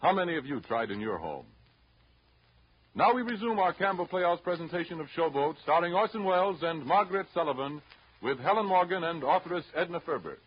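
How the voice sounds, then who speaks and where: Old time radio announcer guy, formal, lilting highish voice, muffled hissy recording. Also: animated. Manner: polished, formal, measured. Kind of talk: 1930s scripted radio announcing and sponsor read. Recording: dull, hissy old 1930s radio broadcast.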